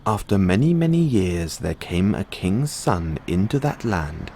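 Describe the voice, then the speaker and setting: A man with a low-pitched voice reads a bedtime fairy tale. His delivery is measured storybook narration, like a story told for someone falling asleep. Clear background noise runs behind the recording. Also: big pitch swings, a little mellow. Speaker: storyteller playing a boyfriend in audio roleplay.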